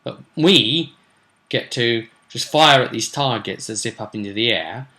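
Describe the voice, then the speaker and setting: A man's voice: mid-range voice, a little slow, sharp tone. A British man voicing a disgruntled male mage contestant, complaining and arguing his case in a tabletop game recap. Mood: indignant. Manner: complaining, argumentative. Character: disgruntled male mage player grumbling about tournament.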